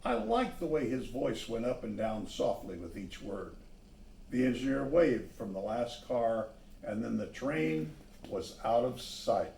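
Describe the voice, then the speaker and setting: Male picture-book reader, unhurried delivery, medium pitch, addressing young listeners, recorded at a distance in a roomy space. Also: big pitch swings, vocal fry, mellow, a little hiss or hum. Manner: pauses to point out the pictures. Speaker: storybook reader. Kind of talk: read-aloud children's story narration with asides on the pictures. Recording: echoey room, distant microphone.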